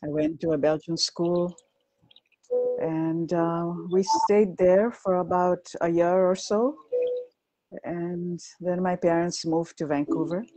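A middle-aged woman raised in Rwanda with French as her first language, talking softly and hesitantly as a guest on a video call. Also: fairly low pitch, steady tone, takes it slowly, dry recording, faint music behind, rough recording. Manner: soft-spoken, hesitant with many ums. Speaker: guest, visual artist. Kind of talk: casual video call interview. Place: home room indoors. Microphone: earphones on video call.

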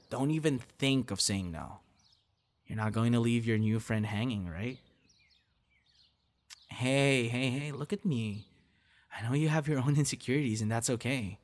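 A man's voice: medium pitch, normal speed, pauses often, natural voice. Man acting a friendly, coaxing young guy. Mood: earnest, pleading. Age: young adult. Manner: earnest, coaxing, sighs and breathy reactions. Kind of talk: ASMR audio roleplay, one-sided dialogue to listener.

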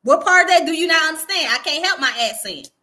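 Bright, high Southern woman lecturing. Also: animated, fast talker. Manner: emphatic, preachy, keeps checking in with "okay?". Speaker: livestream history host. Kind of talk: casual livestream lecture. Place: small room indoors. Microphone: webcam close up.

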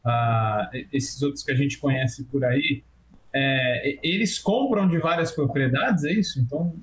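A man's voice: medium pitch, measured pace, slight background noise. A young Brazilian man hosting an interview over a video call from a room at home, asking stumbling, hesitant questions. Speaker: interview host. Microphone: webcam on a video call.

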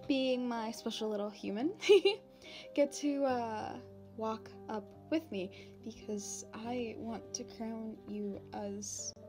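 A woman voicing a shy young demon princess in an ASMR roleplay, talking informally and haltingly to the listener. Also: fairly high pitch. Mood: fond, giggly. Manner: hesitant, halting, informal with long pauses. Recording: background music.

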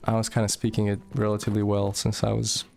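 A man's voice: on the low side, monotone, fast, gravelly, darkish tone, slight background noise. A young man from Moldova, chatting casually while streaming a game, swearing freely and reading chat aloud. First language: Russian.

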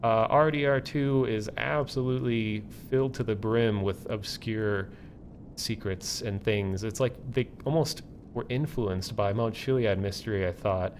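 Low-voiced man rambling on a livestream. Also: steady tone, unhurried. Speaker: streamer and online community host. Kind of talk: casual livestream chat. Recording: low rumbling background noise.

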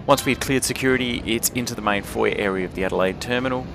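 A man's voice: mid-range voice, fast, creaky, noisy background. A man narrating his travel vlog in a chatty, wry voice-over. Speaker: travel vlogger. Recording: music bed under the voice.

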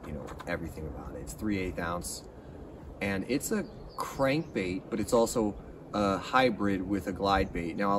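A middle-aged man hosting a fishing channel, reviewing a lure to camera in a casual, rambling, enthusiastic way while sitting outdoors in a pine forest. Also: low voice. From California. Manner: relaxed, rambling, enthusiastic about gear. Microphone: camera at a distance. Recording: distant outdoor mic with background noise.

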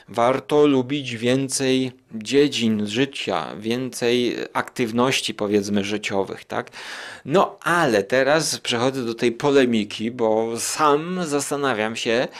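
Male podcast host, medium pitch; casual solo musing, meandering and hesitant, checking in with short tag questions. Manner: rambling, digressive, hesitant pauses with self-questioning tags. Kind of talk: casual spoken monologue, musing aloud.

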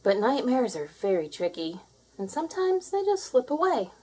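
A woman reads a picture book aloud for children. Her pitch swings widely as she tells the story with drama, and her voice has a husky, unpolished edge. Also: sing-song, moderate pace, darkish tone. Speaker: storybook reader. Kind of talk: expressive children's read-aloud.

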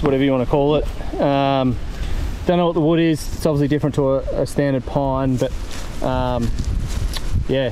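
A relaxed man in his thirties chats through a tool test out in a grassy paddock, his voice sitting a touch high for a man. He talks loosely, with plenty of ums and restarts. Wind buffets the camera mic throughout. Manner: easygoing, rambling, many ums. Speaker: vlogger reviewing gear. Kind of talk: casual product test chat. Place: outdoors in a grassy bush paddock. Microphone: camera mic, far tripod then held at arm's length. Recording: outdoor wind noise on the mic.

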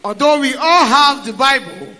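A man interpreting a sermon line by line in a steady, declarative way. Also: very high voice, moderate pace. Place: large church hall, indoors. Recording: long hall reverb.

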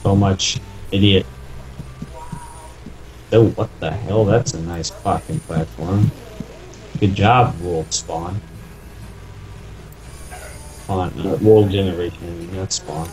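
A man's voice: deep voice, fairly even intonation, slow, pauses often, a little mellow, slight background noise, rough recording. A young man chatting casually while playing a game with friends, joking crudely and swearing a lot. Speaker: gamer recording with friends. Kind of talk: casual gaming chat.

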